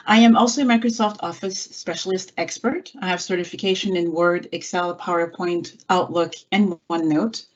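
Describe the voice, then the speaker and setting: A woman's voice: fairly low pitch, fairly even intonation, moderate pace, few pauses. A middle-aged woman who was born in France and grew up in California, answering conversationally as a guest in an online interview. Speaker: guest, executive assistant and software trainer. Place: indoors, online video call. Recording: dull, muffled call audio.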